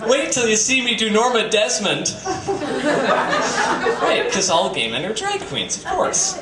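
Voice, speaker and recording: A man with a fairly high, very lively voice performs a comic reading on stage, leaning into campy emphasis and tossing in asides. The recording is an echoey, distant capture from a live venue with clear background noise. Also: unhurried, a loud crowd. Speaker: reader at a live comedy reading night. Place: bistro venue in front of an audience. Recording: roomy, distant, noisy live stream capture.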